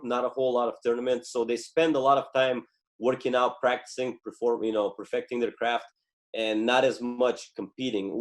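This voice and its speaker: A man from Bulgaria, a native Bulgarian speaker in his thirties, talking as a podcast guest with frequent pauses and fillers. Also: mid-range voice. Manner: hesitant, many fillers and restarts. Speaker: guest, former Olympic wrestler. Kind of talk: podcast interview.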